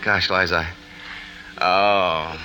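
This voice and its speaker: An actor playing an earnest, bashful movie star in love, stammering boyishly in a 1950s radio drama. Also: male voice, low-pitched, steady tone, extremely slow, heavily acted. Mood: smitten, bashful. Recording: 1950s radio broadcast with loud music.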